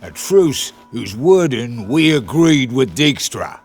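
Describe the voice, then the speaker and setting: An actor playing a hard-bitten guerrilla commander in a game, with a sardonic, clipped delivery. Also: male voice, mid-range voice, very animated, slow. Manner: sardonic, clipped, sometimes florid. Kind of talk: cinematic game voice acting.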